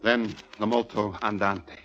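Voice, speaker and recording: A man's voice: on the low side, level tone, a little slow, fairly bright tone. A man acting in a 1940s radio drama as an unstable, grandiose composer with a theatrical, rhapsodic delivery. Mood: rapt, grandiose. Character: unstable, grandiose, morbid male symphony composer. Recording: dull vintage radio recording, music behind.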